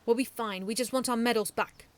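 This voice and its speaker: A woman's voice: fairly high pitch, steady tone, brisk, natural voice. A woman voicing a stubborn young girl who growls her words defiantly.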